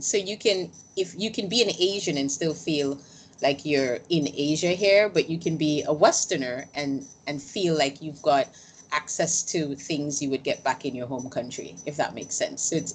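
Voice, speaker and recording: Female interviewer, notably low voice, chatty and emphatic delivery, light background noise. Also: normal speed, rough recording. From grew up on a small island. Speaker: interviewer, host. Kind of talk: casual conversational interview.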